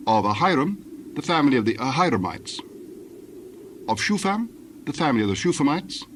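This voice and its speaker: Guy reading the bible as narrator, formal n measured, mid pitched, noisy ambience behind him. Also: sing-song. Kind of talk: scripted dramatized scripture narration.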